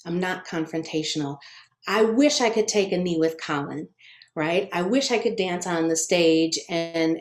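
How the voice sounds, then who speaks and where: Soft-spoken introverted woman, echoey room. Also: lowish voice. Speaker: children's book author on a panel. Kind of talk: panel discussion.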